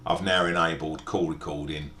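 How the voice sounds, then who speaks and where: A man narrating an informal step-by-step software tutorial in a methodical, explanatory way. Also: flat delivery, normal speed. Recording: echoey room, background hiss and rumble.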